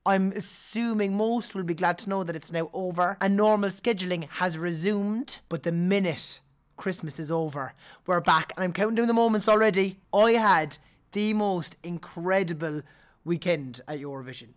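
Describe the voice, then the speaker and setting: A man with a Cork Irish accent, hosting a podcast in a chatty, animated way, his voice hoarse from singing all weekend. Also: sits high. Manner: chatty, animated, quick to laugh. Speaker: podcast host. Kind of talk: casual chat.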